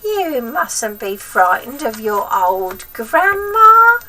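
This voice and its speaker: A woman's voice: on the high side, sing-song, drawn-out words, sharp tone, far from the mic, slight background noise, pitched above the performer's own voice, exaggerated voice. A woman voicing a sinister, gloating old grandmother in a storybook, drawing out nasty words with menacing relish. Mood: sly, menacing. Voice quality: throaty, breathy whisper at times.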